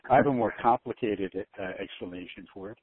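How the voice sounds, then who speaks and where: Older man, low-pitched, on a phone line. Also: husky, no echo. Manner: halting, repeats words and restarts often. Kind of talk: rambling conference-call talk. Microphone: phone conference call. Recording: narrow tinny phone line.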